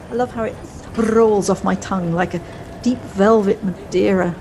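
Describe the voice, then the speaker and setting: A woman's voice: mid-range voice, unhurried, a little mellow, natural voice. A woman acting a flustered, wistful middle-aged woman in an audio play, with hesitant, reflective speech that repeats words. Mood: wistful, fond. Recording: noisy home recording on personal device.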